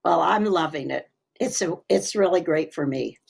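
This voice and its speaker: A woman's voice: sits low, normal speed, vocal fry, husky. An older woman, sixties or above, a guest chatting casually in a cheerful, folksy way. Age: 60s or older. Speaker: interview guest, children's story reader and teacher. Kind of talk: casual chat.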